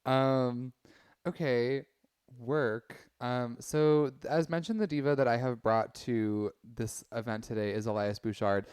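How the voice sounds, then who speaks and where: A man of medium pitch chats casually in a smooth, crisp voice. His delivery is campy and full of slang. The recording is dry and free of echo. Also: a little slow, darkish tone. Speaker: podcast panelist. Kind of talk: casual chat.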